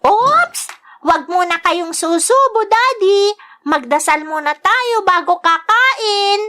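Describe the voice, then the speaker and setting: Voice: extremely high pitch, expressive, slow, sharp tone, full character voice. A performer playing a small, bossy, pious child in a radio drama, insistent and cute, with a Filipino accent. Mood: scolding. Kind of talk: sentimental Tagalog radio drama acting.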